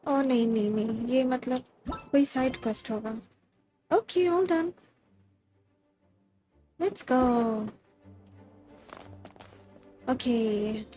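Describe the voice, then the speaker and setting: A woman's voice: fairly high pitch, fairly quick, long pauses, dark tone, soft background music. A woman with an Indian accent giving casual live commentary as a game streamer. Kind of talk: casual live gameplay commentary, mixing Hindi and English.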